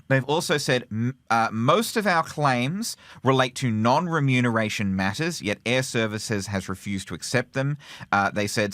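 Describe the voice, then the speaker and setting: A man co-hosting a podcast, reporting news conversationally in a halting way, often rephrasing himself. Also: medium pitch, fairly bright tone, no room sound.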